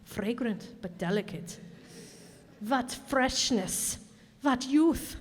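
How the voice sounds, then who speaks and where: A woman's voice: on the high side, lively intonation, takes it slowly. A woman playing a gloating, lecherous carrot tyrant in a theatrical, leering radio-play style, savoring each phrase. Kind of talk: old-time radio play acting on stage. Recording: echoey hall stage performance.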